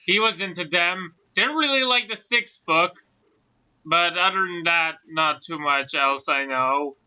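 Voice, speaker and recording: A man's voice: a little high, a little slow, pushed and bright, clean tone. A man chatting casually as a participant in a group, on a lo-fi recording. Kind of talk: casual chat.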